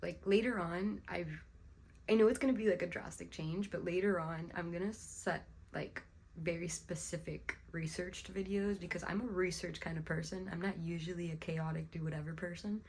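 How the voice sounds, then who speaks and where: Young woman vlogger, a little hoarse, low-pitched with vocal fry; musing, unscripted, throat-clearing delivery. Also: fairly even intonation, moderate pace, a little mellow, a little hiss or hum. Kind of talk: casual reflective vlog talk.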